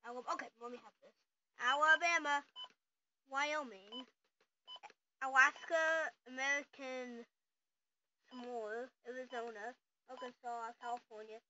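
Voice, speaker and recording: A casual, excitable host chatting through a home unboxing, quick to argue and interrupt. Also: high-pitched. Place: small room at home, indoors. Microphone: handheld camera or phone. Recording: noisy, dull handheld camera audio.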